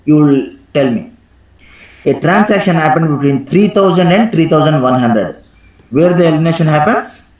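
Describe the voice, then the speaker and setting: A man teaching a live software tutorial step by step, instructing and often checking in with a questioning "right?". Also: on the high side, animated, moderate pace. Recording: echoey room sound.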